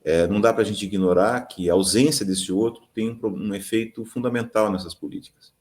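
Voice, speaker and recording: A man acting as mediator, introducing an academic panel in a measured way with frequent tag questions. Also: on the low side, a little mellow.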